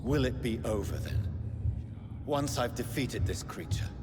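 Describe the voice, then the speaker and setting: A man's voice: mid-range voice, measured pace, music in the background, natural voice. An actor playing an earnest, troubled vampire doctor in a video game, articulate and formal in his speech. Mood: determined. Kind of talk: dramatic video game acting. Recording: reverberant hall, clear background ambience noise.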